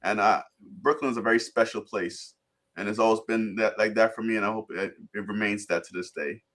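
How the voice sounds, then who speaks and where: Man from guyana, low voice, laid-back rambling storyteller, video call, fillers and restarts. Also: fairly even intonation, a little fast, a bit bright. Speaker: interview guest. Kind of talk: casual storytelling interview.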